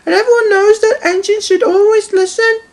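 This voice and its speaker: A man voicing a small, touchy young boy engine who talks in a high, whiny, plaintive way. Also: fairly even intonation, brisk. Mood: earnest. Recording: music playing behind.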